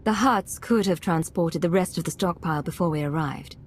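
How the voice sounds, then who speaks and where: A woman's voice: fairly low pitch, normal speed, slight background noise, lightly acted. A woman playing a cool, businesslike imperial secret agent in a video game, dry and controlled. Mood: focused. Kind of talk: video game cinematic acting.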